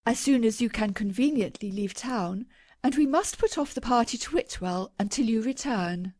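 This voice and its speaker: A woman's voice: fairly high pitch, lively intonation, moderate pace, a little mellow, no echo, studio quality, voice pushed up. A woman voicing a proper aristocratic lady who speaks in a genteel, reproving way.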